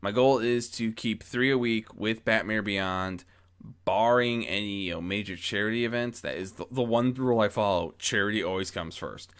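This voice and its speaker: A man with a fairly low-pitched voice chats reflectively to his followers. He rambles, doubles back and corrects himself mid-sentence. He speaks close into a boom-mounted microphone with a pop guard. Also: normal speed, fairly bright tone, smooth. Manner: rambling, self-correcting, frequent false starts. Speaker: amateur audio story narrator and channel host. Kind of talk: casual reflective monologue to followers. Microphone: close mic on boom arm with pop guard.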